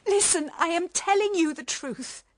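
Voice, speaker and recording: An actress playing a pleading, proud young British wife in a period radio drama, speaking with emotional, halting delivery. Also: female voice, very high voice. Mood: sad, reproachful. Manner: emotional, halting, stammering under strain.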